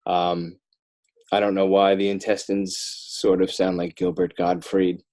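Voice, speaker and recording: An American man talking casually as an interview guest, halting and thinking aloud with repeated false starts. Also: deep voice, completely flat delivery, darkish tone. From northern New Jersey, United States. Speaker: interview guest, children's book author and illustrator.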